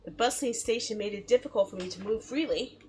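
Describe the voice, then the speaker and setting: A woman streaming a game in a casual, expressive, chatty way, reading story text aloud and reacting to it. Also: mid-range voice, fast talker, a little hiss or hum. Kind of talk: casual live let's play, reading visual novel text aloud. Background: background music.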